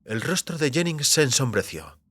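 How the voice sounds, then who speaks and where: Un hombre narra con guion un relato dramático de ciencia ficción. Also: male voice, mid-range voice, animated, normal speed, sharp tone, breathy edge, no echo. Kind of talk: scripted dramatic story narration.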